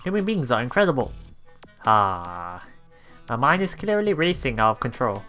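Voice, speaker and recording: A man doing a let's play, reading story narration aloud with casual commentary, halting and chuckling as he goes, with game music behind him. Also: medium pitch, very animated, bright, forceful tone. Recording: voice over game background music.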